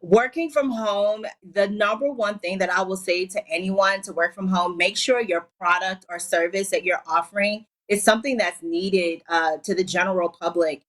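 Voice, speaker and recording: A woman from Liberia in her forties, a guest on a TV talk show, upbeat and laying out her advice in clear numbered tips. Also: medium pitch, normal speed, hardly any pauses, a bit bright. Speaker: entrepreneur guest.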